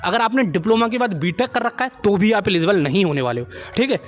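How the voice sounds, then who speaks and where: A man's voice: sits high, animated, fast talker. A young man with an Indian accent hosting an informal explainer, talking to viewers like friends and often checking that they follow. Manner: chummy, addresses viewers as friends, repeats check phrases. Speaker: job-update video host. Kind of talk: informal explainer of a recruitment notice.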